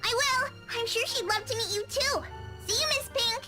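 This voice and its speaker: A woman's voice: very high voice, moderate pace, over loud music. A woman voicing a chatty, earnest little schoolgirl in a visual novel game. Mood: cheerful.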